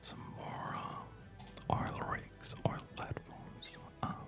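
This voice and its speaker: A man giving whispered ASMR game commentary as a video host, in a soft breathy whisper with a rambling, hesitant, unhurried manner. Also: over music.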